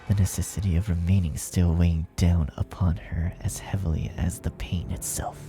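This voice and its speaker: A man reading a dark fan fiction story aloud as scripted, dramatic narration. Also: deep voice. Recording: music behind the narration. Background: music.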